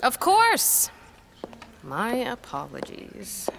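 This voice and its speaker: A woman's voice: on the high side, wildly swooping pitch, drawn-out words, creaky, fairly bright tone, slight background noise, exaggerated voice. A woman playing an earnest, capable scholar in an audio drama, speaking politely and directly. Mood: guarded, defensive. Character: earnest, capable young woman scholar, defensive yet respectful.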